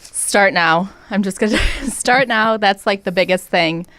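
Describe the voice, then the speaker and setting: A woman's voice: medium pitch, measured pace, a bit bright, no room sound. A woman who is a realtor guest on a casual talk show, upbeat and breezy. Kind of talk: casual chat.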